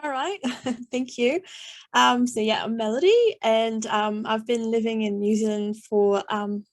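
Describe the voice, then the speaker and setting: Soft-spoken Swiss-born woman guest. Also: a little high, measured pace. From Switzerland. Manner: soft, hesitant, laughing often. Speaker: panel guest. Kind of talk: casual conversation.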